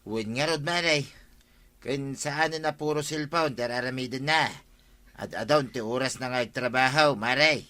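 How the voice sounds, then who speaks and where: An actor with an Ilocano accent playing a grumbling, complaining village husband in a radio comedy drama. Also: male voice, medium pitch, moderate pace, pushed and bright, close to an everyday voice. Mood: resigned grumbling.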